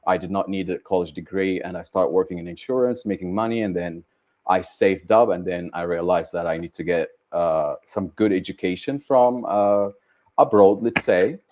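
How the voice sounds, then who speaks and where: A man's voice: low voice, moderate pace, darkish tone. A man in his thirties with an Egyptian accent, answering interview questions casually and hesitantly, with many ums and uhs. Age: 30s. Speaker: guest, tech startup co-founder.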